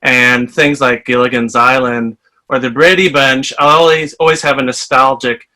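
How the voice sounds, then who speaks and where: A man's voice: medium pitch, fairly even intonation, normal speed, bright, forceful tone. A man from Iowa, chatty and enthusiastic as he introduces himself as a fan guest. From Iowa, USA. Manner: chatty, enthusiastic, laughs while talking. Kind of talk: casual self-introduction.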